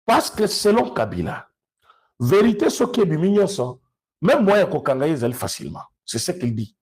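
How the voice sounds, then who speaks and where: A man with a Congolese accent speaks in a fairly high, distinctly husky voice. He gives off-the-cuff political commentary, moving between two languages. He is emphatic and repeats phrases to drive them home. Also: big pitch swings, normal speed.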